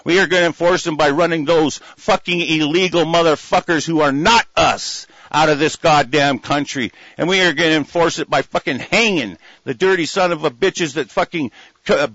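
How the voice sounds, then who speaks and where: A man's voice: a little high, normal speed, fairly bright tone, no echo. An American man delivering a furious, profane, heated monologue rant, on a dull lo-fi recording. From United States. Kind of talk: angry ranting monologue.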